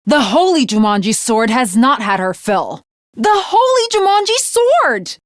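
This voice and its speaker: Bloodthirsty, honor-bound young samurai girl, anime game. Archaic formal speech, unhurried delivery, very dry close recording. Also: fairly high pitch, very animated, no room sound. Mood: proud, zealous. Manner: archaic, formal, theatrical diction. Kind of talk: anime video game voice acting.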